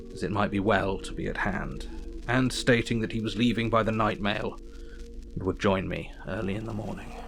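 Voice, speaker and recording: An actor playing an earnest Victorian doctor, narrating his diary in an audio drama with a measured, formal delivery. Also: lowish voice, fairly bright tone. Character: earnest Victorian doctor keeping a night vigil.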